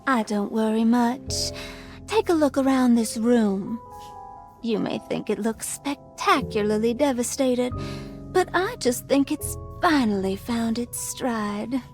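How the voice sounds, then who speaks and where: A languid, wry woman living in a gothic madhouse. Her voice sits a little high and she speaks very slowly, musing philosophically, as in a video game cutscene. Music plays behind her. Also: a little mellow.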